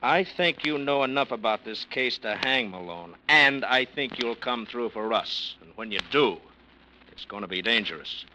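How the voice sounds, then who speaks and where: A man's voice: mid-range voice, animated, normal speed, pushed and bright, a little hiss or hum, poor audio. An actor playing a blunt, needling male police detective in a 1940s radio drama. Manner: hard-nosed, needling.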